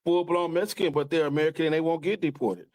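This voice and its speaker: A man's voice: on the high side, steady tone, fast, darkish tone, no echo, clean studio sound. A man in a casual panel debate, pushing back argumentatively and repeating himself as he makes his case. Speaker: podcast panelist.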